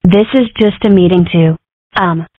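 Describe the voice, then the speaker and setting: A woman's voice: lowish voice, normal speed, dry recording, lightly acted. Woman playing a formal psychiatrist, phone-like sound. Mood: reassuring. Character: courteous, reassuring school psychiatrist, grown woman. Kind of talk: comic strip dub. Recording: muffled, phone-like narrow sound.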